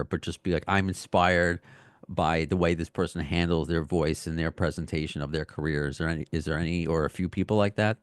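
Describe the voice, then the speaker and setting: Male podcast host, very deep voice, hesitant rambling questions, dry close recording. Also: dry recording. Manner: rambling, hesitant, many "like" fillers. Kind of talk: interview questions.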